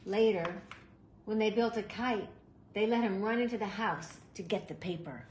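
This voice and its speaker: A woman reading a children's picture book aloud in an expressive, animated way, with deliberate emphasis. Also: mid-range voice. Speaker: storybook reader. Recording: roomy echo, faint background noise.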